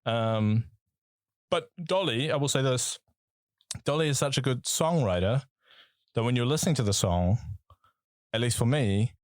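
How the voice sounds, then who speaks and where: A man's voice: mid-range voice, lively intonation, unhurried, long pauses, dry recording, clean studio sound. A man with a New Zealand accent co-hosting a casual music podcast, rambling and swearing with lots of fillers and restarts. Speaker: podcast co-host.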